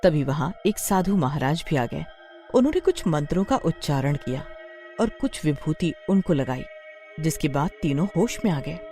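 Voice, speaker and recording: A woman's voice: sits low, animated, normal speed, mellow, faint music behind. A woman narrating a scripted horror story as a storyteller.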